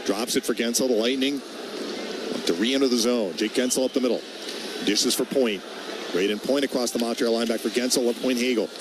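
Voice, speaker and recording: A male radio announcer calls live hockey play-by-play in clipped, quick phrases. His voice sits at a medium pitch. There is clear background noise from the live broadcast. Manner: clipped rapid-fire phrases, calling each move as it happens.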